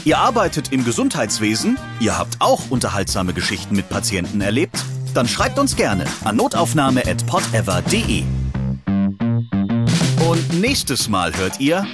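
Animated male announcer over loud guitar music. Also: medium pitch, normal speed. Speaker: podcast announcer. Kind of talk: scripted promo announcement. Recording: music behind the voice.

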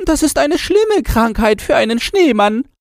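A man voicing a hoarse, barking, grumbling and knowing chained yard dog from a storybook. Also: extremely high pitch, big pitch swings, measured pace, dry recording, studio quality, pitched above the performer's own voice, heavily acted. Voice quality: hoarse, yapping. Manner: barking exclamations. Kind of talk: storybook character voice.

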